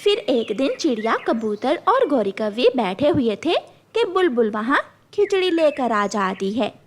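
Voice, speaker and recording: A woman's voice: on the high side, big pitch swings, a little slow, slight background noise. A woman narrating a scripted children's story.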